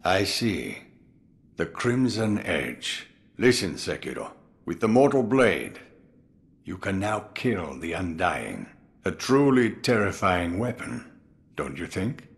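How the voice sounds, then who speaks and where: A brooding old man counsels in a video game. He is slow and deliberate, his low voice rising and falling expressively as he probes and questions. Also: sing-song, vocal fry, heavily acted. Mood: brooding, probing. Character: old man, brooding, probing mentor to a warrior.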